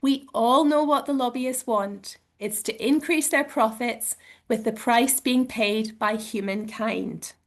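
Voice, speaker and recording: A woman with a croaky voice from illness, giving an earnest campaign talk to a meeting over a video call. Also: a little high, takes it slowly. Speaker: campaign speaker. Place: at home, joining a meeting online.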